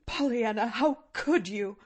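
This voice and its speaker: A woman's voice: on the high side, unhurried, pitched above the performer's own voice. A woman voicing a stern, easily flustered middle-aged aunt in an audiobook, scolding and protesting indignantly. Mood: distressed. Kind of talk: audiobook character voice.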